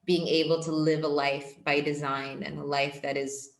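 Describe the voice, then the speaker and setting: A young woman with a deep voice talks through her ideas in a relaxed, searching way. She leans on fillers while reflecting earnestly. Her voice rings in a reverberant room. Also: moderate pace, creaky. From born in Portugal, raised in Ethiopia. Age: young adult. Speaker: guest, empowerment coach. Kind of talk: casual podcast interview.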